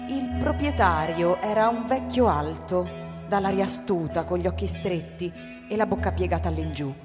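A woman narrating a scripted literary story for a radio drama in a measured storytelling manner. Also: on the low side. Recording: long reverb under loud music.

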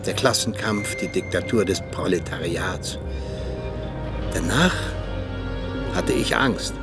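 An actor playing a cynical, disillusioned Soviet agent and former mentor in a radio drama, confessing in weighty, deliberate phrases. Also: male voice, sits low, expressive, over loud music, exaggerated voice. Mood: weary, resigned. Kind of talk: German radio drama acting.

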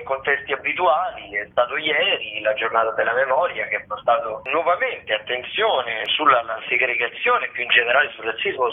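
A man of medium pitch delivers theatre commentary as a radio critic. He talks discursively, halting through long winding sentences. The sound is narrow and tinny, like a telephone call. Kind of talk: spoken theatre listings and commentary. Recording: narrow tinny phone line.

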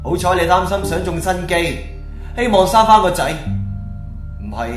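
A man's voice: sits high, animated, moderate pace. A man playing a vengeful ghost chief, a fallen rebel soldier, commanding and menacing in a radio drama. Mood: taunting. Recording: roomy echo, music underneath.

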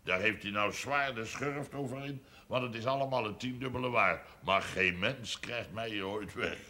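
An actor playing a boastful, middle-aged rich village farmer in a television period drama, hearty, blunt and earthy. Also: male voice, lowish voice, moderate pace, pushed and bright. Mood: proud, boastful. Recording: old TV soundtrack with background noise.

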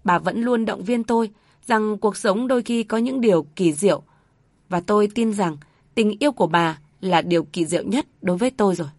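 Elderly ex-soldier father, calm and grieving, read by a woman. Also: medium pitch, dry recording, natural voice. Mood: sorrowful. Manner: calm, heavy, measured. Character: elderly father, a former soldier, sorrowful and steady.

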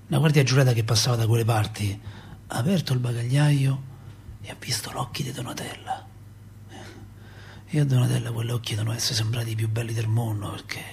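An actor playing a talkative middle-aged working-class Roman father, a doorkeeper, in an expressive, rambling radio-play monologue with a Roman accent and a husky voice. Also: medium pitch. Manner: expressive, rambling, repeats himself and asks rhetorical questions. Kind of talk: theatrical radio monologue, colloquial storytelling.

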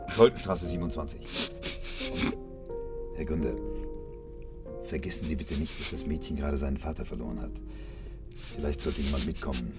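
A man playing a composed, courteous government minister in a German radio drama, speaking in a measured, formal way. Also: very deep voice. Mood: sombre, considerate. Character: composed, courteous male interior minister, firm and condoling.

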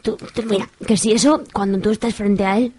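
A woman's voice: medium pitch, fairly even intonation, brisk, mellow, slightly rough, no echo, lightly acted. A woman playing a flippant, scheming fortune-telling witch in an amateur comic radio soap, casual about gruesome things. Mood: flippant, soothing.

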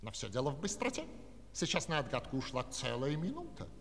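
An actor playing a proud, playful talking automaton with a theatrical, declamatory manner in a Soviet children's audio play. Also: male voice, a little high, wildly swooping pitch, brisk, a bit bright, heavily acted. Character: boastful, theatrical talking computer automaton, lively showman. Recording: 1960s studio recording, long reverb, music.

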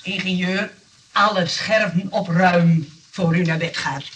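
A woman's voice: on the low side, measured pace, fairly bright tone, far from the mic, a little hiss or hum. An actress playing a kind but bossy nurse who runs a rest home, cheerful and motherly in a radio comedy. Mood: bossy scolding. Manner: cheerfully defensive, motherly scolding. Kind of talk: Dutch radio comedy drama acting.

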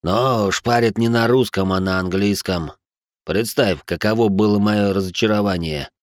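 An actor playing a blunt, mocking American cop of about forty in an audiobook. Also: male voice, fairly low pitch, normal speed, no echo, studio quality, lightly acted. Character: gruff bald American cop around forty. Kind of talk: audiobook character voice.

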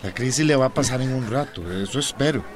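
A man's voice: mid-range voice, lively intonation, quick pace, lightly acted. A man playing an anxious, worried nephew of a sick man in a radio drama.

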